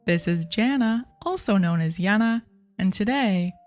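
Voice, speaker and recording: A woman's voice: medium pitch, animated, unhurried, a little mellow, faint music behind. A woman hosting a review podcast, speaking in a scripted narration style. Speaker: podcast host and audiobook reviewer.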